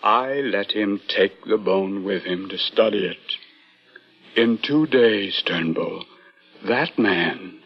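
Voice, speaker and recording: An actor playing an older, superstitious museum curator, agitated and pleading in a halting way, in an old-time radio suspense drama. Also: male voice, lowish voice, vocal fry, breathy edge, heavily acted. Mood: grave, accusing. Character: frightened, earnest male museum curator, doddering and superstitious. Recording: muffled vintage radio drama recording.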